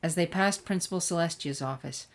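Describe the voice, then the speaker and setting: A woman reading a scripted story aloud in expressive narration. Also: fairly low pitch, fairly quick. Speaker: fan fiction reader.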